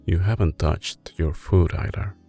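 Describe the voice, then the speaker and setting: Mafia boss played by a man for ASMR roleplay: Italian accent, very low register, unhurried and intimate, quietly menacing, devoted to his lover. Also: full character voice. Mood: tender, doting. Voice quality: deep, creaky. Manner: slow, deliberate, controlled, intimate. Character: soft-spoken, menacing, doting male mafia boss boyfriend. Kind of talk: ASMR roleplay, soft spoken with whispering. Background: music.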